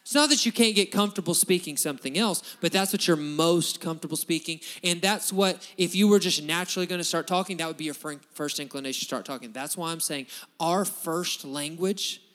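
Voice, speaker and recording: A man's voice: a little high, brisk, a bit bright. A man preaching a sermon in an emphatic, building manner, often restarting his phrases. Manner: emphatic, repetitive restarts, rising bursts of intensity. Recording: long hall reverb.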